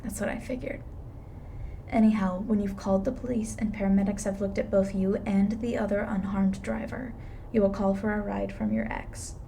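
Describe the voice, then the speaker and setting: A woman playing a blunt, weary, reluctantly soft-hearted reaper in an intimate ASMR roleplay, dry and matter-of-fact. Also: medium pitch, fairly even intonation, normal speed, vocal fry, slight background noise, close to an everyday voice. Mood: firm, instructing.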